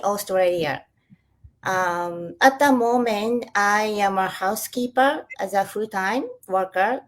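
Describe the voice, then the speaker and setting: A woman's voice: medium pitch, poor audio. A woman from Japan, a guest, introducing herself politely with some hesitations. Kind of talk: self-introduction in a live chat.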